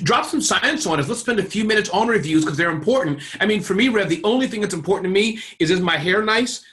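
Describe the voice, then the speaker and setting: A man's voice: high voice, fairly bright tone. A man in his forties hosting a casual interview over video call, playfully recapping what his guests say. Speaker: host. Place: indoor room on a video call.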